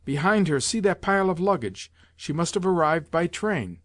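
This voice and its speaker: A man voicing a reserved, dogged gentleman in an audiobook novel, speaking in a measured, matter-of-fact way. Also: on the high side, lively intonation, no room sound, clean studio sound, pitched above the performer's own voice. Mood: observant.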